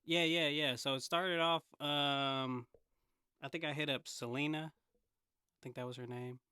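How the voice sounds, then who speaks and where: A man's voice: a little high, fairly even intonation, a little slow, sharp tone, clear, resonant tone, no echo, studio quality. A man from the San Francisco Bay Area telling stories as a podcast guest in casual, slangy talk with some swearing. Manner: slangy, laid-back phrasing, swears casually. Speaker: podcast guest, hip hop artist. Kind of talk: casual conversational storytelling.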